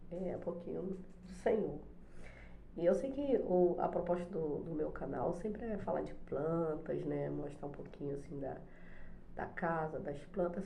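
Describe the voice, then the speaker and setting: Low, gravelly, hoarse woman. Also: reverberant, a little hiss or hum. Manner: soft, hesitant, many fillers. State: hoarse with a cold. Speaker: channel host, special education teacher. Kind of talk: casual devotional vlog chat. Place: home, morning coffee.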